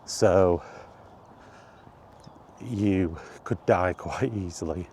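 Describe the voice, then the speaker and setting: A middle-aged man chatting casually and reminiscing in a halting, thinking-aloud way, in short rambling phrases. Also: low-pitched, a little mellow, a little hiss or hum. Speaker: video creator and host. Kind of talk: casual reminiscing monologue about retro games. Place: outdoors while walking.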